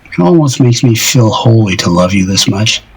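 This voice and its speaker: An actor playing a lovesick, self-deprecating older married man in an audio drama, rambling wistfully and haltingly in a husky voice. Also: lowish voice, expressive, a little hiss or hum, poor audio. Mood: adoring.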